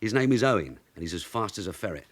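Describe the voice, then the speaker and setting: An actor playing a shrewd veteran detective sergeant, wry, businesslike and commanding, in a radio drama. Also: male voice, on the low side, expressive, quick pace. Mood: wry, weary. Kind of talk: British radio drama acting.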